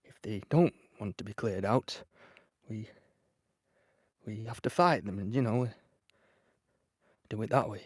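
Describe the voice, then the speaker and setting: An actor playing a plain-spoken, dutiful young British infantryman in an audio play, telling of the fighting in a halting, conversational way. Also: on the low side, dry recording, studio quality. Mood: matter-of-fact. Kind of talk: dramatic monologue in an audio play.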